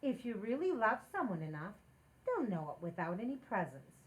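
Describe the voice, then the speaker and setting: A woman playing a romantic, easily exasperated housewife in an old-time radio comedy, expressive and wheedling. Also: medium pitch, moderate pace, lightly acted. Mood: pleading, romantic. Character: Liz, hinting, romantic, exasperated young housewife.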